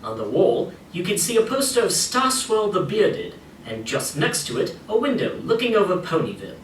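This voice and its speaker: A man's voice: high-pitched, huge pitch swings, normal speed. A man reading scripted narration for a story game, addressing the listener as the player. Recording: echoey room playback, distant, faint noise.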